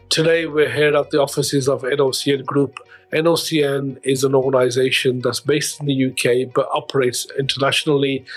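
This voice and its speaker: Flat-voiced podcast host, effusive. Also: male voice, medium pitch. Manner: effusive, repetitive phrasing. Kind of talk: interview host chat.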